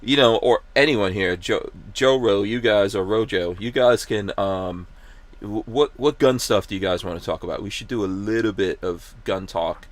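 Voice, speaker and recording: A man's voice: lowish voice, moderate pace, no room sound. A man from Guyana hosting a casual podcast chat in a jokey, teasing way. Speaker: podcast host.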